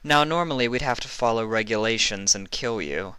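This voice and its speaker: A man's voice: medium pitch, expressive, a little fast, sharp tone, smooth, dry recording, pitched above the performer's own voice. A man voicing a brusque, impatient female pony agent in a storybook, with a blunt, barking delivery. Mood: businesslike.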